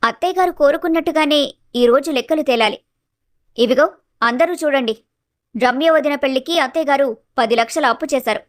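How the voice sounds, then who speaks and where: Bold young daughter-in-law for storybook drama played by a woman, firm, very high voice, dry recording. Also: brisk, pauses often, dry recording, lightly acted. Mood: firm, confronting. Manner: firm, confronting. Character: bold, clear-headed young daughter-in-law exposing hypocrisy.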